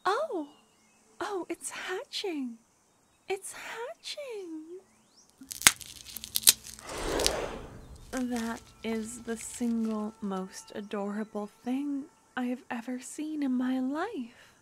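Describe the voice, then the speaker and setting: A woman voicing a tender young necromancer girlfriend, talking in a soft whisper in a fantasy ASMR roleplay. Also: sits high, takes it slowly, slight background noise, voice pushed up. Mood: delighted.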